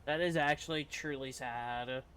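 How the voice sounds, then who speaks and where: A man's voice: medium pitch, steady tone, measured pace, fairly bright tone, smooth. A man streaming a video game, giving casual commentary in short, trailing reactions.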